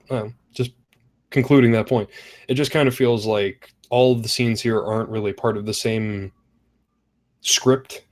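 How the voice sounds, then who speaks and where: A man's voice: fairly low pitch, a little mellow. Man giving methodical, casual critique. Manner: methodical, numbers points, searches for words. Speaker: script reader giving feedback. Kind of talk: casual critique in an online group call. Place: online voice chat.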